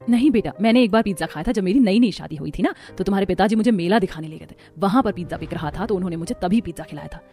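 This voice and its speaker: A woman voicing a poor, gentle village mother in a cartoon story, explaining things humbly. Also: mid-range voice, racing, hardly any pauses, natural voice. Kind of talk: Hindi cartoon story voice acting.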